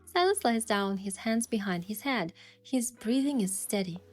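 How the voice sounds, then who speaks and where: A woman's voice: mid-range voice, expressive, normal speed, close to an everyday voice. Woman voicing an earnest young romance heroine, music behind. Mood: tender narration. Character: young heroine, sincere, flustered, quick to sulk in romance. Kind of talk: first-person narration and dialogue in a romance story.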